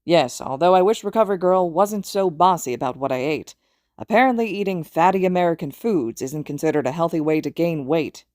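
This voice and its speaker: A woman's voice: fairly low pitch, brisk, a little mellow, dry recording, studio quality, lightly acted. A woman voicing a genial older man, a mentor in an audiobook, hearty and slightly formal. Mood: proud.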